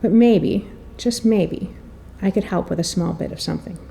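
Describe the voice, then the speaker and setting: A woman giving a prepared introduction speech to an audience in a theatrical, storytelling way, with rhetorical questions and pauses. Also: lowish voice, creaky, mellow. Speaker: editor and marketing director introducing an author. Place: room at a public presentation.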